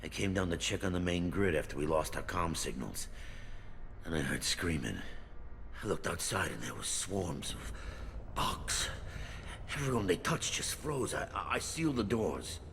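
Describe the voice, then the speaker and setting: An actor playing a rattled, resentful colony mechanic in a video game, suspicious and grumbling. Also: male voice, deep voice, very animated, normal speed, vocal fry, a bit bright, in a large hall, a little hiss or hum, full character voice. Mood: shaken. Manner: grumbling, agitated.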